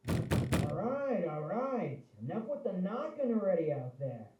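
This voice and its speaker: An irritated, sleepy monster brother from a cartoon audio drama, acted by a man. His high, vain complaints sound distant and echoey, with a dulled tone. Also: sing-song, a little slow, very noisy. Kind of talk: animated audio drama acting. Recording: muffled, echoey, distant from mic.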